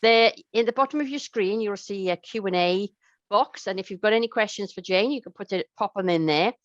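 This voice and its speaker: A woman's voice: mid-range voice, a little fast, no room sound, lo-fi sound. A Welsh woman chairing an online conference session, chatty and hesitant with many fillers. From Wales.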